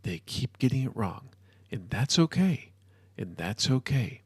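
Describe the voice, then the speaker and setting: A male narrator plays an exasperated modern-day wizard from an audio storybook, obsessive and judgmental. He speaks with a gravelly creak in a very dry, close sound, given to emphatic outbursts. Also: medium pitch, very animated, measured pace, no echo, full character voice. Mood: self-soothing mantra.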